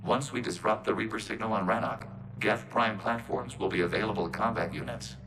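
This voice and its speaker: An actor playing a calm, logical robot with a collective mind, speaking formally through synthetic processing in a video game cutscene. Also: male voice, fairly low pitch, noisy background. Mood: measured, resolute. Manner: formal, measured, detached, speaks of itself as "we".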